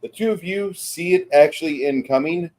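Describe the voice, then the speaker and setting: A man running a tabletop game as game master, narrating scenes in a halting, descriptive way. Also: on the high side, a little mellow.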